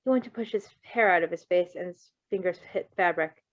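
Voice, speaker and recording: A woman's voice: on the low side, fairly quick, no room sound. A woman reading a story aloud as a narrator, with playful casual asides. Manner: playful, breaks off to riff. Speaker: reader of fan fiction. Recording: dry, slightly muffled recording.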